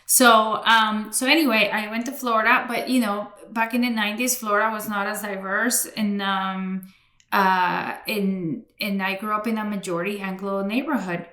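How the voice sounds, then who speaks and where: A bright, clear-toned woman in her forties, from Colombia, speaking with an American accent. She talks in a loose, chatty flow with plenty of fillers and restarts, laughing easily. The sound bounces around an echoey room. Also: mid-range voice, level tone, moderate pace. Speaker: guest. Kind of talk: casual interview chat.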